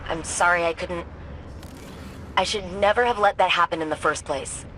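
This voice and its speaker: A woman playing a warm, devoted friend in a video game, with an informal, emotional delivery. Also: fairly low pitch, normal speed, heavy background noise, lightly acted. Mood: guilty, apologetic. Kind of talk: dramatic video game cutscene acting. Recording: loud music behind the voice. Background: loud music.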